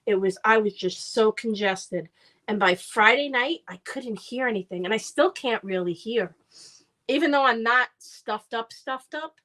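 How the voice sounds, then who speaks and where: A woman's voice: mid-range voice, a bit bright. A woman chatting casually on a live stream while making herbal oil products, rambling with frequent ums, congested from a cold. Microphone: streaming camera mic.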